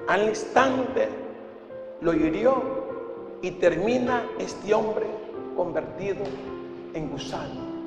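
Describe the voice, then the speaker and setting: An older man delivers an impassioned sermon in a very high voice. He is emphatic, repeating phrases and posing rhetorical questions. The sound comes through a handheld mic in a reverberant church hall, with music clearly present behind him. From Ecuador. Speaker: pastor. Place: large church hall, indoors, before a congregation. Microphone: handheld microphone through PA.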